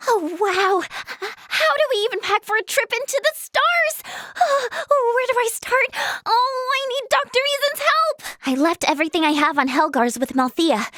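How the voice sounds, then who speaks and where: High, girlish, earnest young healer. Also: dry recording, studio quality. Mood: relieved, grateful. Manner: earnest, expressive. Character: earnest, excitable, caring young female healer and researcher. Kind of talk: English video game voice acting.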